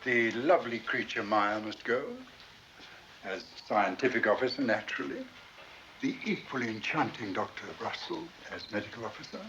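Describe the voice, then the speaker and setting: An actor playing an imperious, courtly being who claims to be God, speaking formally and theatrically in a 1970s TV science-fiction drama. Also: male voice, lowish voice, normal speed, lo-fi sound, close to an everyday voice. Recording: noisy old television soundtrack.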